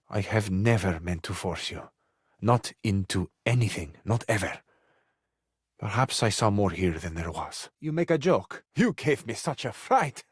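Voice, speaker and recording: Melodramatic Italian romantic cabinet, dry close sound. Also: male voice, mid-range voice, breathy edge, dry recording. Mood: wounded, wistful, then flustered, amorous. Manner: florid, dramatic, wounded romantic phrasing. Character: passionate, melodramatic Italian male living cabinet, sensitive romantic. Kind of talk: theatrical video game character acting.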